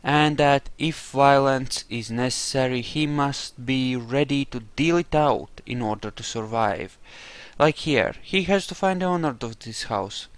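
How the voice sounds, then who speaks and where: A man doing roleplay commentary over a game, musing aloud and explaining his character's reasoning in a deliberate way. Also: medium pitch, no echo. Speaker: gamer narrating a roleplay playthrough.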